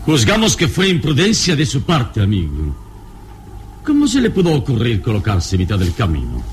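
An actor playing a calm, courteous and commanding adventurer hero in a radio drama. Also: male voice, medium pitch, normal speed, slight background noise. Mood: calm, assured. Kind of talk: old-style radio drama acting.

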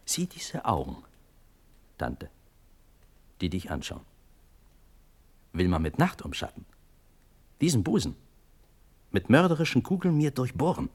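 A young prince in a classical radio drama, desperate and terrified for his life. He speaks verse slowly at a middle pitch, declaiming and pleading with great fervour. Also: wildly swooping pitch, darkish tone, slightly rough, exaggerated voice. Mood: haunted dread.

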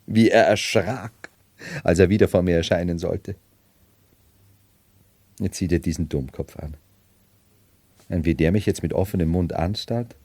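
A man's voice: low-pitched, unhurried, long pauses, a little mellow, no room sound. An actor playing a scornful, imperious man who feigns madness, in a theatrical 1970s radio drama monologue. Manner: theatrical, mocking, brooding monologue. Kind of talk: 1970s German radio play acting.

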